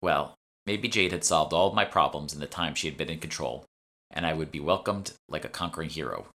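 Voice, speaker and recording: A man's voice: very deep voice, brisk, pushed and bright. A man reading scripted narration from a fantasy novel as its author. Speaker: author reading own audiobook.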